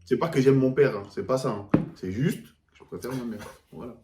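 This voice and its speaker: A man's voice: medium pitch, a little fast, darkish tone. A man in his twenties, chatting and joking in slangy banter while hosting a drinking game. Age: 20s. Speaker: youtuber host. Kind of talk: casual drinking game chat. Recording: echoey room with music behind.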